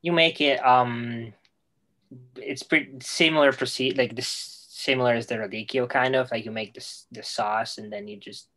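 A man's voice: mid-range voice, fairly even intonation, normal speed, a bit bright. A young man with an Italian accent and Italian as his first language, a guest on a casual video call, speaking hesitantly and searching for words. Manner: hesitant, many fillers and restarts. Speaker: guest cook. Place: home room with bookshelves. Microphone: video call, webcam.

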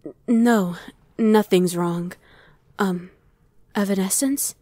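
Guarded female demon, played by a woman, mellow dark tone, medium pitch, curt, ASMR audio drama, dry recording. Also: takes it slowly, dry recording. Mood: hesitant, probing.

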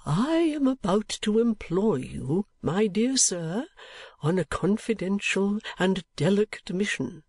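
A man acts out a grey-headed old gentleman from a storybook, pitched well above a normal male speaking voice. He is kindly, excitable and sentimental. His phrases come slowly and emphatically, with halts and repeats, in a dry, close sound. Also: breathy edge, no room sound, full character voice. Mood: earnest, confidential. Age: older. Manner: emphatic, repetitive, halting, drawn-out delivery.

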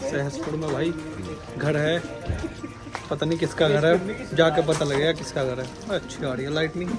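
Young guy vlogging at night, kinda high voice, phone held out, chatty, music under it. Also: fairly even intonation, moderate pace. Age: young adult. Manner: chatty, addresses viewers directly. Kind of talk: casual travel vlog. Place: roadside at night, outdoors. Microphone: phone camera at arm's length.

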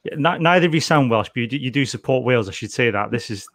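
A man's voice: medium pitch, lively intonation, fast, a crowd in the background. A man, hosting a podcast in a casual, chatty way. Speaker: podcast host. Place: indoor room at home on a video call. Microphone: close desk microphone.

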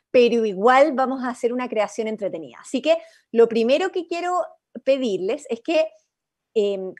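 A woman's voice: high voice, a little mellow. A young woman with a Chilean accent guiding an informal workshop over a video call in an encouraging, inviting way. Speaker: workshop facilitator. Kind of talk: informal guided workshop talk. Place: indoor room, on a video call. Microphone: video-call computer microphone.

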